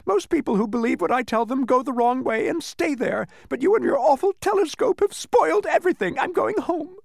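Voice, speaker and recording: A man voicing a small, furry, insincere demon from a storybook, with emphatic, drawn-out proclaiming. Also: sits high, lively intonation, no room sound, studio quality, voice pushed up, exaggerated voice. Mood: sobbing, whiny. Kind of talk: storybook character voice.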